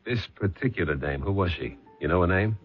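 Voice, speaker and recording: Persistent male detective sergeant, vintage radio drama: low, clipped and probing, muffled broadcast sound. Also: expressive, fairly quick, faint music behind. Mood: insistent. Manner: clipped, probing, businesslike questioning. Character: blunt, persistent police sergeant, man. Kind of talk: old radio mystery acting.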